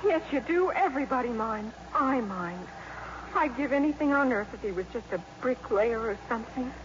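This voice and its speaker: Woman acting earnest high-pitched teen girl, 1950s radio drama, emphatic, big reverb on a dull old broadcast. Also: animated, normal speed, a little hiss or hum, close to an everyday voice. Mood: glum, frustrated. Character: earnest, impassioned teenage high-school girl debater.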